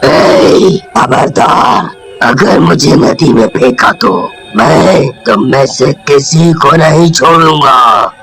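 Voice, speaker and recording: A man playing a vengeful, menacing ghost in a cartoon story, growling slow, drawn-out threats. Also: a little high, a bit bright, soft background music, exaggerated voice. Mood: threatening. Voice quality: gravelly, growling. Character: vengeful male ghost trapped in a gas cylinder, menacing.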